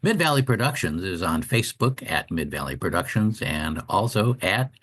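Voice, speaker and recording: A man with a fairly low voice reads calendar listings as a radio co-host. His pitch moves up and down in a very lively way as he delivers the scripted announcements. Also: moderate pace, breathy edge.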